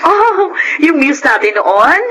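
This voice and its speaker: A sociable middle-aged woman in a radio drama. She talks brightly and eagerly, her pitch high and lively. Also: slow pace, a little hiss or hum, heavily acted, low-quality recording. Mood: chatty. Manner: bright, chatty and friendly. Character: cheerful, generous woman back from abroad.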